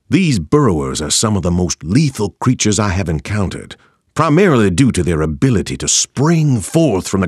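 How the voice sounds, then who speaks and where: Deep, husky, ominous monster chronicler. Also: male voice, no echo, clean studio sound, exaggerated voice. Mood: ominous, studious. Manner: ominous, erudite, deliberate phrasing. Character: grave learned man narrating his monster journal. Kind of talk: scripted dramatic game narration.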